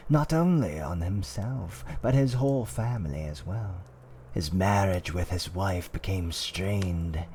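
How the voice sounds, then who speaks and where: A man playing a fantasy tavern owner, telling dark fireside tales in a hushed, dramatic roleplay ASMR style that often drops toward a whisper. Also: deep voice, a little hiss or hum, full character voice. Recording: faint background ambience.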